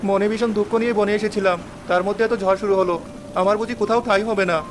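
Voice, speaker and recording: A woman's voice: mid-range voice, steady tone, quick pace, noisy background, close to an everyday voice. A woman voicing a sad, humble young village boy in a children's fairy-tale cartoon, earnest and pleading. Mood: sorrowful. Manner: plaintive, pleading. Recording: music under the voice.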